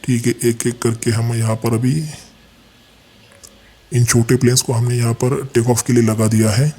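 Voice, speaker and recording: An Indian man with a slightly dark, husky voice at an average male pitch. He gives casual gameplay commentary as a channel host, explaining as he goes and talking to viewers like friends. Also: flat delivery, normal speed.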